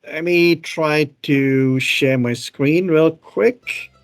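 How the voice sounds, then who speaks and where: Man from Malaysia, fairly high voice, dry sound, chatty with lots of fillers, video call. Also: drawn-out words, clean tone, dry recording. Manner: chatty, many fillers, laughs easily. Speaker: co-host of a tech show. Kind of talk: casual tech chat with screen demo.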